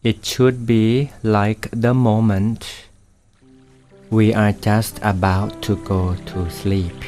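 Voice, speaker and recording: A Buddhist meditation guide, his voice sitting fairly low. He speaks softly and gently, leaving long pauses between short phrases. He moves at an unhurried, very slow pace. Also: level tone, soft background music. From Thailand. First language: Thai.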